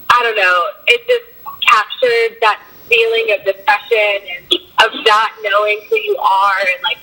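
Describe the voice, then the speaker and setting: A young woman in a band, a bit hoarse from a cold, answering interview questions in a loose way with lots of fillers. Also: on the high side, sharp tone, rough recording. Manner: loose, filler-heavy talk with frequent likes and ums. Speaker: band member.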